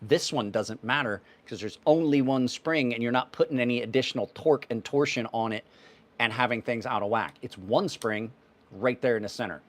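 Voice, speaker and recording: A man in his fifties hosting a knife livestream, answering viewers' questions in a rambling, offhand way. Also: medium pitch. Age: 50s. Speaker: knife channel host. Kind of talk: casual livestream chat.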